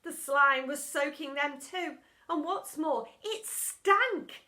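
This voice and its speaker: A woman's voice: sits high, takes it slowly, pushed and bright. A woman narrating a children's storybook expressively and theatrically, as a primary school storytime reader. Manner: dramatic, theatrical.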